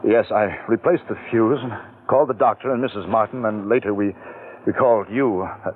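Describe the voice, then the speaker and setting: A man's voice: on the low side, brisk, lightly acted. A man playing a nervous scheming nephew who stammers and gets flustered, in 1940s radio acting style, on a tinny old radio recording. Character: nervous scheming young man caught in a lie.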